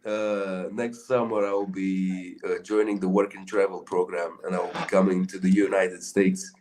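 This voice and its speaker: A man's voice: deep voice, little pitch movement, moderate pace, background noise. A young man from Romania with a Romanian accent, telling a rambling story in casual chat, full of fillers. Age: young adult.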